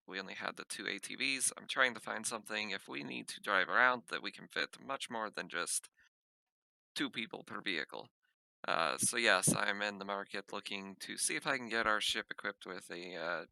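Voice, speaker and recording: A man's voice: on the low side, moderate pace, gravelly, bright, forceful tone, no room sound, full character voice, rough recording. A man playing a practical, concerned starship captain in a tabletop sci-fi game, explaining things matter-of-factly. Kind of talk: in-character tabletop sci-fi roleplay.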